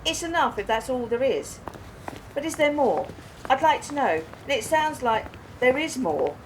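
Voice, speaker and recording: A woman's voice: high-pitched, very animated, moderate pace, slight background noise, low-quality recording. Actress as a wry, defiant woman in radio drama. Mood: sharp, challenging. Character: adult woman, wry, sharp, defiant, confessing to her partner. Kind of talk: naturalistic radio play acting, dialogue and monologue.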